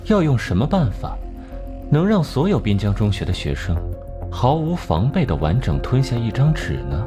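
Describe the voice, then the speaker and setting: Guy doing a cold arrogant anime detective, lectures everyone, super expressive, music underneath. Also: mid-range voice, normal speed, natural voice. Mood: triumphant, explanatory. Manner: sardonic, lecturing, condescending. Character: cold, arrogant young male genius detective. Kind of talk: animated crime drama dialogue.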